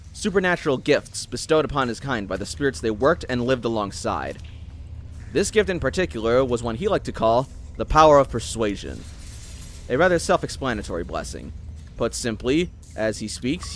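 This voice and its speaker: A man's voice: mid-range voice, a little hiss or hum. A man reading scripted, dramatic story narration.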